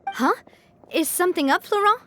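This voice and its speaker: A woman's voice: very high voice, animated, normal speed, fairly bright tone, slight background noise. An actress playing a caring, resolute young female gang boss in a video game, speaking earnestly and with command. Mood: warm, attentive.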